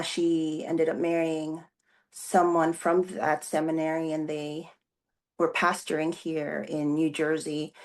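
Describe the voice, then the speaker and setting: A woman in her forties, raised in the Philippines with Tagalog as her first language, answering interview questions as a pastor in a thoughtful way, with many fillers and restarts. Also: deep voice. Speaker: executive pastor, guest.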